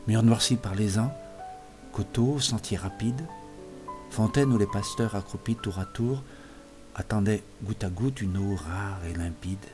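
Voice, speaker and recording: A man reciting poetry in a solemn, expressive literary style, in measured phrases that sink softer as lines end. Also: low voice. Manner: measured phrases falling softer at line ends. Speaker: poetry reader. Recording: music playing behind the voice. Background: music.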